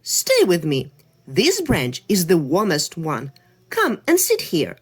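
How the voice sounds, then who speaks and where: A woman's voice: on the low side, wildly swooping pitch, slow pace, husky, exaggerated voice. A woman voicing a kind, caring female fir tree who takes in a little bird in a storybook tale. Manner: warm and caring.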